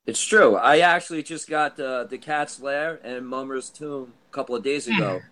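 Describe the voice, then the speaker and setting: Late-30s man hosting a podcast, mid-pitched, eager and stumbling over his words. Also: bright, forceful tone, poor audio. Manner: enthusiastic, halting, many restarts. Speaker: podcast host. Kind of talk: casual podcast chat.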